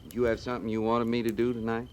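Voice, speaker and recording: An actor playing a wry, folksy cavalry sergeant with sly deference in a 1950s radio western, on a noisy old recording. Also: male voice, mid-range voice, level tone, racing, darkish tone, natural voice. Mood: probing, deferential.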